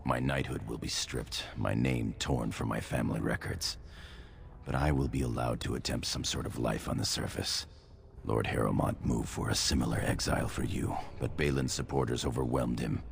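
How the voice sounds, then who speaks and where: An actor playing a loyal, sombre dwarf knight in a fantasy game, grave and formal, with a gravelly voice. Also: male voice, steady tone, normal speed, exaggerated voice. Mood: grave concern. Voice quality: gravelly, very deep. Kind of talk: fantasy video game acting. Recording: game dialogue over noisy ambience.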